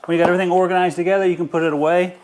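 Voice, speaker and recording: A middle-aged man with a slightly high voice talks casually through a gear review. He sounds informal and enthusiastic, often repeating a word for emphasis. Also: little pitch movement, very fast, background noise. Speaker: host of an outdoor gear review channel. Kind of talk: casual product review vlog.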